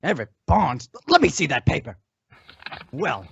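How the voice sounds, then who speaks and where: A man's voice: a little high, huge pitch swings, creaky, slightly rough, dry recording, exaggerated voice. An actor playing a stern, formal male bank manager in an old-time radio western. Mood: stern, guarded. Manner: formal, brisk. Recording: dull lo-fi audio.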